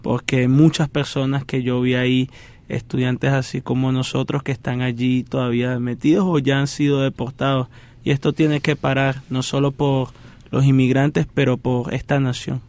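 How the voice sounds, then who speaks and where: Man, from Venezuela, monotone, earnest, steady, interview guest. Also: mid-range voice, normal speed. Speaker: guest, student.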